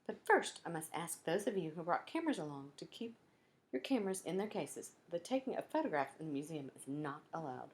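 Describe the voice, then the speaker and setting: Female schoolteacher read by a woman: firm, orderly, announcing, with lively pitch. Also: fairly quick.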